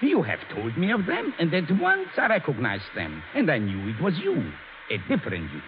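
High-voiced, hesitant professor in love. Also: male voice, huge pitch swings, a little hiss or hum. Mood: shy, tender. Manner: halting, repeats words, earnest. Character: shy, courting professor, tender and hesitant. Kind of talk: 1940s radio acting. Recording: tinny old radio broadcast with music.

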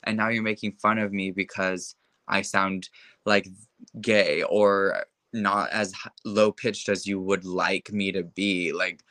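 A young man speaking in an interview, his voice pitched a little on the low side but with a bright, forward edge. He talks with heated conviction and scatters filler words as he goes. The sound is dry and close. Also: flat delivery, slow, dry recording. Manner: impassioned, heavy use of filler words. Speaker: interview guest. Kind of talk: casual conversation.

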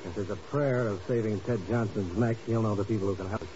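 Casting: male actor for tough, steady undercover man, terse delivery, lowish voice, vintage radio thriller. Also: fast, natural voice. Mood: steady, serious. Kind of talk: 1950s radio drama acting. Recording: very noisy, muffled old radio recording.

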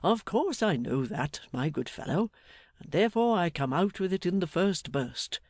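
A man voicing a jolly, blustering older English gentleman in an audiobook, fatherly, emphatic and effusive. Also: sits high, sing-song, moderate pace, no room sound, studio quality, pitched above the performer's own voice. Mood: jovial, bustling. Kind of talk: dramatised audiobook character.

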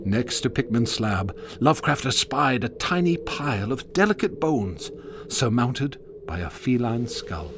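A man narrating a ghost story in a measured, solemn style. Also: medium pitch, sing-song, noisy background.

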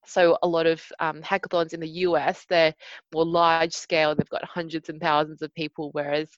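A woman's voice: sits low, level tone, moderate pace, no echo, highs cut off. A woman speaking as a guest on a podcast over a video call, chatty and full of "you know" fillers. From Australia. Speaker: podcast guest, hackathon organiser.

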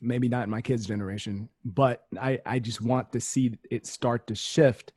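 A man speaking as a guest in a casual conversation, explaining his views in a measured, earnest and deliberate way. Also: medium pitch, fairly even intonation, a little mellow, breathy edge, no echo.

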